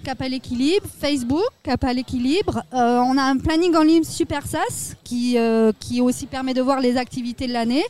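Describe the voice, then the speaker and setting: A woman's voice: high voice, moderate pace, hardly any pauses, a little mellow. A woman representing her association in a radio interview at a fair, speaking hesitantly with many fillers.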